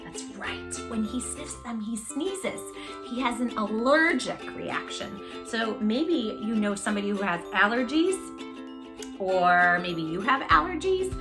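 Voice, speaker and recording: A woman hosting a children's storytime, reading expressively and talking effusively and directly to her young listeners. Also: on the high side. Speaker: children's storytime host and reader. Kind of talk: expressive picture-book read-aloud for young children.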